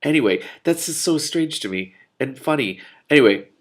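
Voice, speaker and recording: A man's voice: fairly high pitch, lively intonation, moderate pace. A man reading a novel aloud expressively as host, breaking off with amused casual comments.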